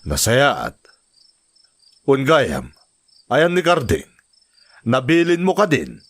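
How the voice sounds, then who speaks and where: A man's voice: medium pitch, wildly swooping pitch, slow pace, fairly bright tone, exaggerated voice. A man playing a commanding, volatile drug-gang boss in an Ilocano audio drama. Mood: cold authority. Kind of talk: Ilocano radio-style crime drama acting.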